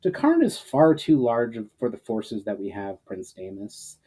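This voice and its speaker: A man reads the part of a male elf war leader in a fantasy storybook. He is decisive and commanding, with a middle-pitched voice. His delivery is assured, deliberate and matter-of-fact. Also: big pitch swings, warm tone, slightly rough. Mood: grave, explanatory.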